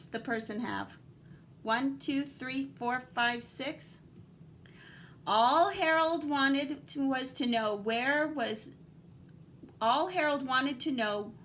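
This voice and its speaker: High-voiced woman reading aloud to children. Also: slight background noise. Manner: animated, dramatic emphasis, guiding and questioning. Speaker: teacher reading a picture book aloud. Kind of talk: expressive children's read-aloud with asides to young listeners.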